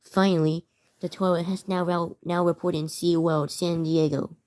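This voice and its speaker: A woman narrating amateur scripted emergency news bulletins in a halting way, often stumbling over and repeating words. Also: lowish voice, flat delivery, darkish tone. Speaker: narrator of a fictional emergency alert scenario.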